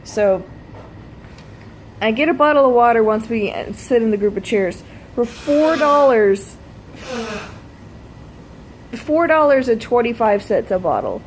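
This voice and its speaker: A woman's voice: a little high, lively intonation, unhurried, pauses often, rough recording. Woman vlogging casually over noticeable noise. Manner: blunt, casually profane. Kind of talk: casual personal storytelling vlog.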